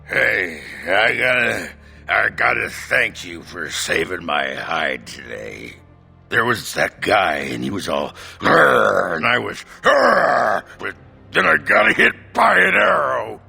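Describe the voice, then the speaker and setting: An actor playing a boisterous drunken male warrior in a video game, rambling in slurred, halting speech. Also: fairly low pitch, sing-song, creaky, pushed and bright, slightly rough, soft background music, heavily acted. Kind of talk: video game character acting.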